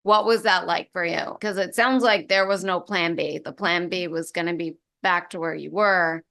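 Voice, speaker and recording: A woman's voice: fairly low pitch, steady tone, normal speed, creaky, bright, forceful tone. A woman hosting a podcast, reading an upbeat introduction and then asking her guest questions with enthusiasm. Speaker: podcast host.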